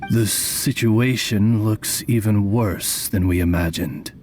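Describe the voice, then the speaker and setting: A royal retainer bird in a fantasy game. His lowish voice is formal and patient, delivered slowly and courteously over background music. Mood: diplomatic. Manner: formal, polite, measured butler-like diction. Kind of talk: anime video game voice acting. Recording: music behind the voice.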